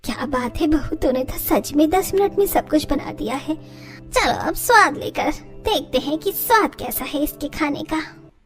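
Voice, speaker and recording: A woman's voice: high-pitched, expressive, unhurried, faint music behind. A woman voicing a nosy, sceptical middle-aged neighbour auntie with a challenging manner in a family story. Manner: pushy, challenging.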